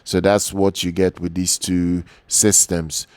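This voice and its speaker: Guy reviewing audio gear off the cuff, deep monotone, keeps stumbling and repeating himself. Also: clear, resonant tone, no room sound. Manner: halting, repeats words and phrases often. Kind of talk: unscripted product overview commentary.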